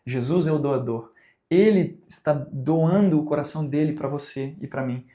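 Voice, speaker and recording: Brazilian man teaching with a mellow voice. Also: on the high side, normal speed. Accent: Brazilian Portuguese. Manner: conversational, asks rhetorical questions, acts out examples. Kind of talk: recorded teaching talk.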